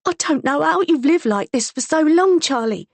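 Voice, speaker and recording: A coarse, scolding wife, as a woman narrator puts her on, her voice raised well above the narrator's usual low, husky pitch. She snaps and swears with force, recorded close in a dry room. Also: sing-song, moderate pace, no echo. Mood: angry. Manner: sharp-tongued, swearing, scolding. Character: fierce coarse working-class young wife.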